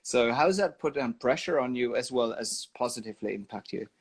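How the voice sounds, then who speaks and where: A man interviews a guest on a sports podcast. His questions come out halting, and he often restarts his phrases. His voice sits at an ordinary middle pitch for a man. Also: lively intonation, breathy edge. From Germany. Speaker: podcast host.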